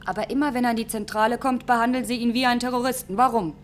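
A woman's voice: a little high, steady tone, rapid-fire, slight background noise, close to an everyday voice. A woman playing the headstrong young daughter of a starship commander in a science-fiction radio drama, earnest and defiant. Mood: indignant. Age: young adult.

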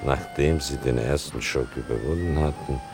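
Very deep, gravelly narrating son. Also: male voice, normal speed, music in the background. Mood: measured, grave. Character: reflective writer son recounting his mother's story. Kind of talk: literary radio play narration.

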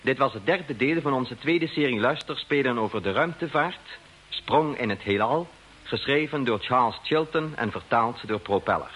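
A man making formal, measured scripted announcements as the announcer of a radio drama. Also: mid-range voice, expressive, fairly bright tone, husky, low-quality recording. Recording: old radio broadcast with faint noise.

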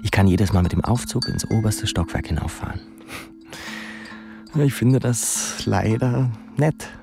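An actor playing a wry, dreamy, self-mocking young pupil in a radio drama monologue, musing and halting in a theatrical way. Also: male voice, fairly low pitch, gravelly. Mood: wry, reflective. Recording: music behind the voice.

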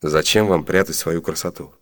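An actor playing an ardent, lordly gentleman and master in a classic comedy radio play, with polished, declamatory delivery. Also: deep voice, normal speed, lightly acted. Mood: ardent, reflective. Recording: reverberant 1980s Soviet radio studio.

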